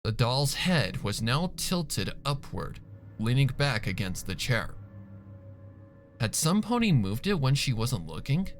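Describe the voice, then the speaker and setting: A man narrating a dark story from a script in a dramatic reading style. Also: medium pitch, very animated, slow, soft background music. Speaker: fan fiction reader.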